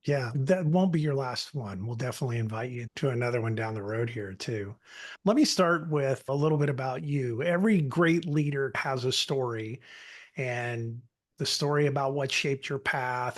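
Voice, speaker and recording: A middle-aged man hosting an interview, friendly and chatty, asking long, rambling questions. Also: mid-range voice. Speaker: host.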